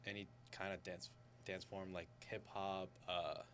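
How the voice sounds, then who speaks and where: A man's voice: deep voice, flat delivery, measured pace, a bit bright. A young man from Florida, born in the Philippines, chatting casually and a bit hesitantly as an interview guest. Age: young adult. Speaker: student club guest, dance coordinator. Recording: clear background noise with hum.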